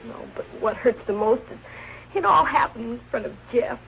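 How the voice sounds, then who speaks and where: An actress playing an affectionate, wounded young daughter in a 1940s sitcom, speaking with open emotion. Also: female voice, fairly high pitch, lively intonation, normal speed, vocal fry. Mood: hurt, tender. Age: young adult. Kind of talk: 1940s American sitcom acting. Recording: dull old broadcast, faint hiss.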